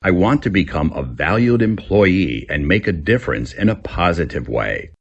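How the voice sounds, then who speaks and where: A man reading scripted English-learning texts aloud as a teacher, in a gravelly, husky voice. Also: deep voice, very animated, moderate pace, highs cut off.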